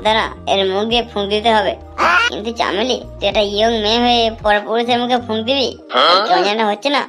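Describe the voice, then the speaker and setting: A woman's voice: on the high side, quick pace, music in the background, lightly acted. A woman voicing a blunt, foul-mouthed young village clothes seller in a cartoon, given to grumbling and scolding. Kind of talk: cartoon dialogue.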